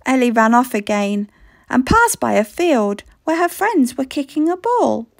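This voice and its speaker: A woman reading a children's story aloud in expressive scripted narration. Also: high-pitched, measured pace, vocal fry, dry recording, studio quality.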